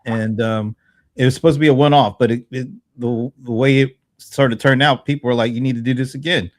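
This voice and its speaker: A man in his fifties in casual panel chat, thinking aloud in a halting way and repeating words. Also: mid-range voice, steady tone. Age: 50s. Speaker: panelist on a small business show.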